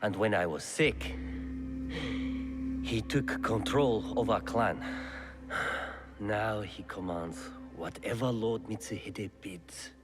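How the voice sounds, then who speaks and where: A man playing an ailing, dutiful clan lord in a video game drama, speaking in a measured, formal way broken by sighs and coughs. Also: lowish voice, music in the background. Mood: weary, bitter. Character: ailing clan lord, sorrowful but dutiful older brother.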